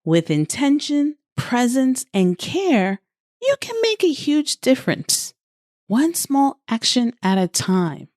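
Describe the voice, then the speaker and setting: A woman delivering a scripted motivational coaching monologue as a podcast host, phrasing deliberately and emphatically with short pauses. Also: sits high, very animated, a little mellow, dry recording, studio quality.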